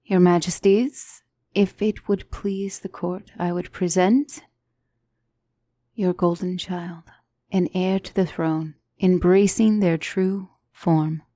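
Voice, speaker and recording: A woman playing a poised, formal demonic tutor in a fantasy ASMR roleplay, speaking as a wise and gently reassuring mentor with measured, courtly phrasing. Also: fairly low pitch, fairly even intonation, no echo. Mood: formal, deferential. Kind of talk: ASMR fantasy roleplay, scripted character acting.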